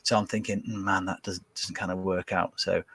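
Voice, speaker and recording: Male podcast guest, low pitch, dry close sound, rambling casual delivery. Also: flat delivery, a little fast, fairly bright tone, slightly rough, no room sound. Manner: rambling, hesitant, lots of fillers and restarts. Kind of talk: casual chat.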